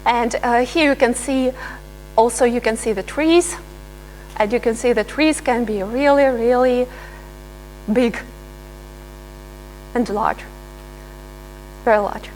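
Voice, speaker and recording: A woman researcher giving a live software demonstration, pausing often with fillers and restarting her sentences. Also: fairly high pitch, slight background noise, rough recording. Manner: hesitant, many filler sounds and restarts. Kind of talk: live software demo talk.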